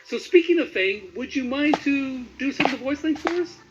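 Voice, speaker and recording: A man's voice: very high-pitched, expressive, normal speed, dull audio, heavy background noise. A man hosting a casual interview, putting questions to his guest in a chatty way. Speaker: interviewer, host.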